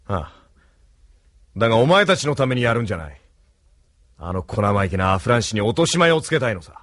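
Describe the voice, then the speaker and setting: An actor playing a brash, swaggering young street boss and brawler in a Japanese anime audio drama, rough-spoken and cocky. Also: male voice, fairly low pitch, very animated, fast, fairly bright tone, dry recording, clean studio sound.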